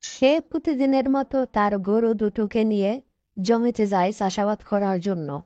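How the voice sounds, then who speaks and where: Mellow woman narrating a cartoon story. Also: medium pitch, unhurried, no room sound. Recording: dull, dry lo-fi track.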